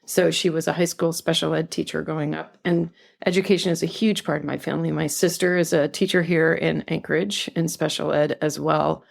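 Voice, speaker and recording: A woman's voice: sits low, fairly even intonation, fairly quick, creaky, hardly any pauses. An older woman from California, a podcast guest talking earnestly and reflectively about her life. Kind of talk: conversational interview.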